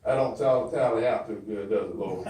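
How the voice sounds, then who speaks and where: A man's voice: on the low side, normal speed, dark tone, a little hiss or hum. A man with a Southern US accent performing a folksy comedy monologue as a flustered churchgoer on the phone, stammering and repeating himself. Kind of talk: comedy monologue, one side of a phone call. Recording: very echoey hall, distant microphone.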